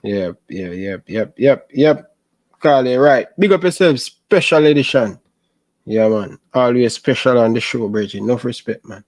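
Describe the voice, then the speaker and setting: A man's voice: mid-range voice, lively intonation, a little slow, darkish tone, no echo. A Jamaican man hosts a live football reaction stream in an upbeat way, urging viewers on. His voice sits in the middle range and the sound is dry and close. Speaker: host. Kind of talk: live-stream show hosting.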